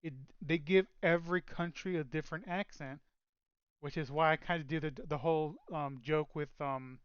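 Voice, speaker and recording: A man's voice: fairly high pitch, fairly even intonation, moderate pace, clear, resonant tone, dry recording, muffled recording. A man co-hosting a casual chat show, rambling with lots of fillers and restarts. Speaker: podcast co-host.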